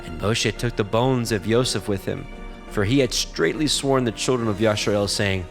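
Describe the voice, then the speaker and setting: A man narrating scripture from a script in a measured, solemn manner. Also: lowish voice, vocal fry, soft background music.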